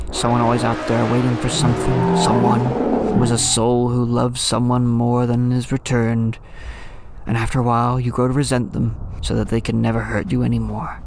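A male actor plays an older lighthouse keeper, lonely and brooding. His mid-pitched voice crackles with gravel as he muses poetically, like a wistful storyteller. It is an audio drama, with clear music behind him. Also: lightly acted. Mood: resigned. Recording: music under the voice.

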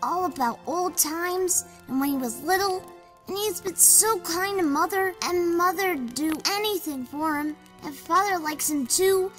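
A woman's voice: high voice, a bit bright. A woman playing an earnest, outspoken young village boy in an audiobook drama, with chatty, old-fashioned phrasing. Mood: exasperated, fond. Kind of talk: amateur audiobook drama acting. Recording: long hall reverb, faint music.

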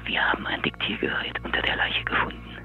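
A man's voice: brisk, in a whisper, muffled recording, lightly acted. An actor playing a grim, suspicious male FBI investigator with a pressing, guarded manner in an audio drama. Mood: accusing, low. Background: music under the voice.

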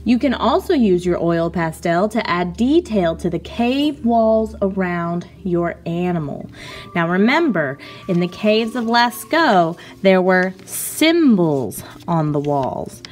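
A woman art teacher giving a slow, patient, step-by-step lesson to children over a video of her hands at work. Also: on the low side, lively intonation, a little mellow, soft background music.

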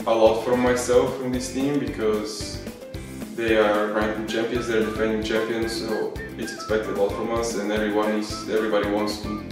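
A man's voice: on the low side, flat delivery, normal speed, recorded from a distance. A man in his twenties with a Bulgarian accent, a volleyball player talking casually about himself in an interview. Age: 20s. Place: beach outdoors and trophy room indoors. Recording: very echoey sound with music behind.

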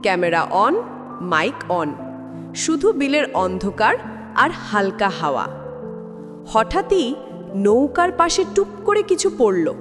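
A woman's voice: on the high side, sing-song, takes it slowly, pauses often. A woman reading a scripted ghost story in a dramatic, suspenseful way. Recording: long reverb, clear background music. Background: music.

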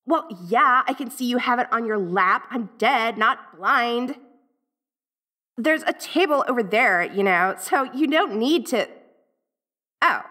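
A woman's voice: high voice, sharp tone, full character voice. A woman playing a dorky, bratty 1980s American Valley girl ghost in a roleplay audio drama, snarky and easily flustered with theatrical slang.

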